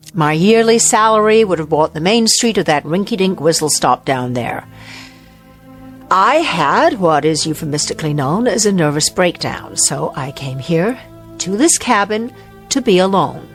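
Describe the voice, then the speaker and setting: An actress playing a haughty, sharp-tongued fashion editor in a radio drama, wordy and sarcastic to hide her nerves. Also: fairly low pitch, big pitch swings, measured pace, faint music behind. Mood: scornful, bitter.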